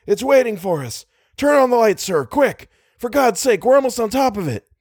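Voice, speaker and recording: Man acting out high-strung, urgent man shouting commands. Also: very animated, moderate pace, breathy edge, no echo, studio quality, exaggerated voice. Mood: panicked. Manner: shouting, sharp with alarm. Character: Little, urgent, commanding man driving in terror. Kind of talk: pulp horror audiobook dialogue.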